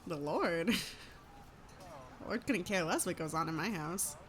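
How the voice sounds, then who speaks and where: A woman's voice: fairly low pitch, expressive, a little slow, a little hiss or hum. A woman playing a plain-spoken, self-deprecating village farmer in an audio drama. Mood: wry, friendly. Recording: clear farmyard ambience with livestock.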